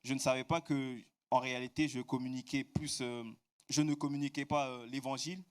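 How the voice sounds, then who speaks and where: A man's voice: mid-range voice, flat delivery, brisk, clear, resonant tone. A man interpreting a sermon into French, hesitant, with frequent fillers and self-corrections.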